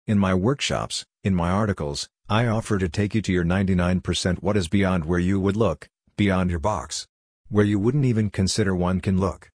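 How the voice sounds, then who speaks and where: An older man from Hungary, whose first language is Hungarian, speaks in a deep, breathy-edged voice. He talks in a blunt, lecturing monologue. The sound is close and dry, with no room around it. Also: normal speed, a little mellow, no echo. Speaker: workshop leader. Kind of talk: scripted monologue.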